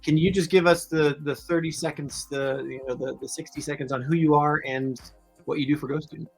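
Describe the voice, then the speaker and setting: A man's voice: a little high, steady tone, a little fast, slightly rough, faint music behind. A man hosting an education podcast, reading a scripted intro and then chatting with enthusiasm and frequent restarts. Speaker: podcast host.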